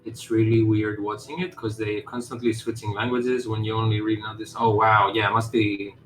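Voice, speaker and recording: A man's voice: fairly low pitch, little pitch movement, moderate pace, roomy sound, soft background music, poor audio. A man game developer chatting casually on a live stream, rambling with lots of "like" fillers and the odd swear word.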